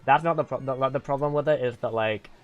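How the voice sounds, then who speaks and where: A man's voice: mid-range voice, fast, lo-fi sound. A young man streaming and chatting casually with viewers, rambling with lots of "like" and restarts, venting at times. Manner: venting, exasperated. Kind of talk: casual livestream chat with viewers. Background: faint game music.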